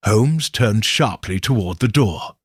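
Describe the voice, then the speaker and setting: A man narrating a detective story in the first person with slow, expressive delivery. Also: on the low side, husky, no room sound, studio quality. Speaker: audiobook narrator.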